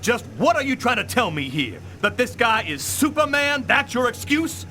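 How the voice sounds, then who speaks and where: An actor playing an angry, sarcastic police captain who barks demands in a video game drama. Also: male voice, extremely high pitch, very animated, moderate pace, bright, forceful tone, a little hiss or hum, heavily acted. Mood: furious. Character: irate, blustering police captain, a man, gruff and sarcastic.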